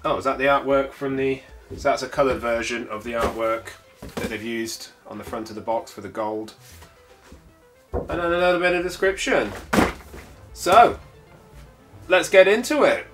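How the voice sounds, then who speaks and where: A man's voice: medium pitch, normal speed, long pauses, faint music behind. A man with a congested, bunged-up voice, chatting casually as he unboxes a collectible. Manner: chatty, rambling, with frequent filler sounds. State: stuffy, congested from a cold. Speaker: toy collector channel host.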